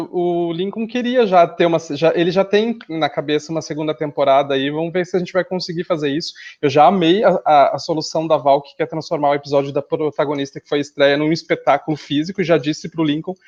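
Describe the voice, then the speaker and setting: Higher-voiced southern Brazilian man chatting, muffled audio. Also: a little fast, hardly any pauses, darkish tone. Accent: southern Brazilian, Porto Alegre. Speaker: interview guest, podcast creator. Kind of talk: casual conversational interview.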